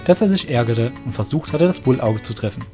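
Male storyteller over soft music. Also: medium pitch, animated, fairly quick. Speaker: narrator. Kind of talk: scripted fantasy story narration.

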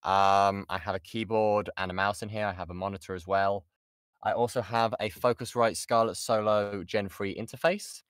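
A man's voice: lowish voice, fairly even intonation, normal speed, clean tone, dry recording, studio quality. A British man, a voice actor, chatting informally and self-deprecatingly as he answers questions in an online workshop. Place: insulated padded home booth. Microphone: XLR studio microphone.

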